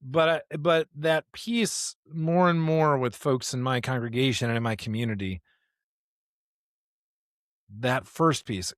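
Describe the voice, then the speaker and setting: A man's voice: medium pitch, dry recording. The man at the podcast table who slips into preaching, driving points home by repetition, recorded close and dry. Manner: emphatic, repeats rhetorical questions and phrases. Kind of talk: conversational podcast discussion with sermon-like passages.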